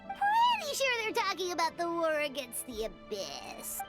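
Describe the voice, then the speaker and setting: A woman voicing a tiny childlike fairy companion in a video game, squeaky, cheeky and blunt. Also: huge pitch swings, unhurried, music in the background, exaggerated voice. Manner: squeaky, cheeky, speaks of herself in third person. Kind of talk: scripted video game character acting.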